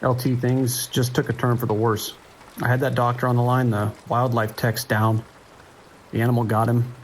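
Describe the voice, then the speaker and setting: A man's voice: medium pitch, level tone, normal speed, close to an everyday voice, low-quality recording. A man playing an anxious police dispatcher in an audio drama, urgent and clipped, holding the line. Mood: shaken. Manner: urgent, clipped radio procedure, hesitant when shaken. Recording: rain and ambient noise behind.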